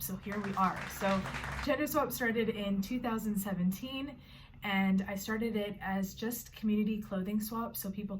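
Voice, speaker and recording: A woman's voice: mid-range voice, a little hiss or hum, rough recording. Chatty Canadian woman vlogging from her bedroom. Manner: friendly, explanatory, loose with filler words. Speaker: vlogger and organization founder. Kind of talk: casual talking-to-camera vlog. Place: own bedroom.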